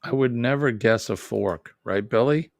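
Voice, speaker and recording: Slow-talking Boston man, dry sound. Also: mid-range voice, vocal fry, dry recording. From New England. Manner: rambling, self-correcting, chuckles often. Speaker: live stream host. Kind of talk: casual live chat reading viewer comments.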